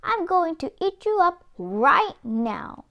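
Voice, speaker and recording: A woman's voice: very high-pitched, very animated, slow pace, fairly bright tone, pitched above the performer's own voice, full character voice. A woman voicing a mischievous, falsely charming wolf in a children's storybook, with a coaxing, theatrical delivery. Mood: menacing, eager. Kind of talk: children's storybook read-aloud.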